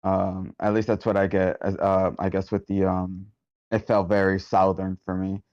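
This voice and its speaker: Man, low voice, monotone, halting casual critic, muffled online call. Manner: hesitant, halting. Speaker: table-read participant giving script feedback. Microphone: online meeting call. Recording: dull lo-fi online meeting audio.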